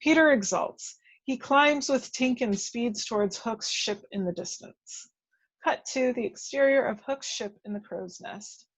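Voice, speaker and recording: A woman reading action descriptions as scripted narration at a table read, with measured, dramatic storytelling. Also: a little high, poor audio.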